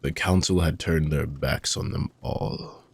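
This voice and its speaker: A man playing an ancient, weary dark mage villain with a gravelly, husky voice, speaking in soft, deliberate, formal phrases as an intimate fantasy audio roleplay monologue. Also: extremely low pitch, fairly even intonation, no room sound, full character voice. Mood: grave, bitter. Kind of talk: slow, intimate dramatic monologue for sleep-aid audio roleplay.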